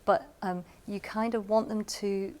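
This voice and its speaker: A woman's voice: mid-range voice, level tone, unhurried, mellow. A woman radio drama producer giving explanatory, emphatic writing advice in an interview. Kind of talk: spoken advice to camera in an interview.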